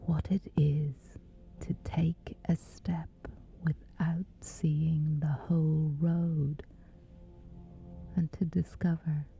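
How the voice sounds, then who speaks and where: A woman guiding a sleep meditation in a hushed, breathy near-whisper, pausing between short phrases. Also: low-pitched, muffled recording. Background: background music.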